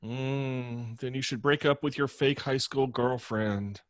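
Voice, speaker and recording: A man's voice: mid-range voice, fairly even intonation, slow pace, lightly acted. A man playing a sardonic, teasing roommate who likes a drink, dry in manner, in a comedy table read. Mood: drunk, teasing.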